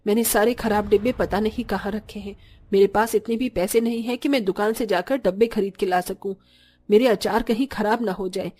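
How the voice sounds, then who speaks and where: A woman's voice: medium pitch, steady tone, brisk, dark tone, natural voice. A woman playing a middle-aged village mother-in-law in a moral story, plain-spoken and given to complaining. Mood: worried, grumbling. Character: grumbling short village mother-in-law, slowly softening. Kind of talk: scripted Hindi moral story voice acting.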